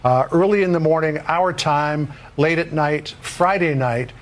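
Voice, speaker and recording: Higher-voiced male news reporter. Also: unhurried, a little hiss or hum.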